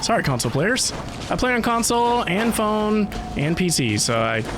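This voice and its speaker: A game streamer giving casual live commentary, excitable and playful, with chatty asides. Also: medium pitch, moderate pace, music in the background. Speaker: livestreamer playing a video game. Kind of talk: casual livestream commentary and chat.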